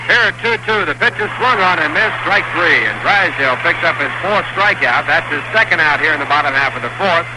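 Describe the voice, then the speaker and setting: A male announcer giving steady, measured play-by-play of a baseball game on a 1960s radio broadcast, rising when the action picks up. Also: on the high side, heavy background noise. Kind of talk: live baseball radio commentary. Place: ballpark broadcast booth.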